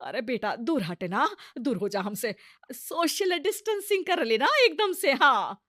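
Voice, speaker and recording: A bright, forceful village mother-in-law, acted by a woman, high in pitch and recorded dry. She is bossy, stubborn and quick to temper. The delivery is loud, theatrical comic storytelling. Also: very animated, dry recording, exaggerated voice. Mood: alarmed.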